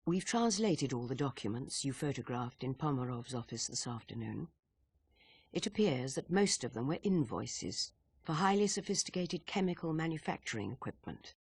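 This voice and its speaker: A woman playing a stern, authoritative spymaster in a video game, speaking briskly and formally. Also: rumbling low, big pitch swings, husky, no room sound, clean studio sound, exaggerated voice. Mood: grave. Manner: brisk, formal, matter-of-fact. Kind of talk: video game cutscene acting.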